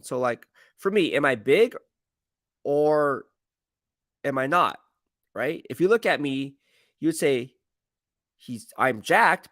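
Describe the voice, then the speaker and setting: A man's voice: a little high, a bit bright, no echo, clean studio sound. A man chats casually on a sports live stream, rambling with slangy emphasis and often repeating himself as he answers viewers. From San Francisco Bay Area, United States. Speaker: sports streamer and football commentator.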